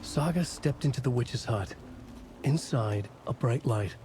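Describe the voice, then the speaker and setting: An actor playing a solemn writer who narrates a horror story in a video game, in short, deliberate sentences. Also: male voice, medium pitch, dark tone, slight background noise, natural voice. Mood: ominous, solemn. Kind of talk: scripted literary narration in a game.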